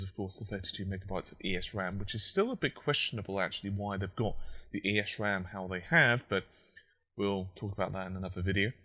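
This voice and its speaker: A man with a croaky, just-woken voice, explaining technology in a solo monologue, with fillers and self-corrections. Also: fairly low pitch, bright, forceful tone. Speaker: tech commentator.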